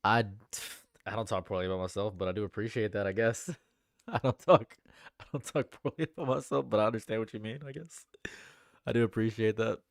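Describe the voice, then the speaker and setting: A young man with a stuffed-up nose, reading chat comments on a livestream in a dry, deadpan way. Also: lowish voice, a little fast, no room sound. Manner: dry and deadpan, laughs at own jokes. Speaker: livestream host. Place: home room.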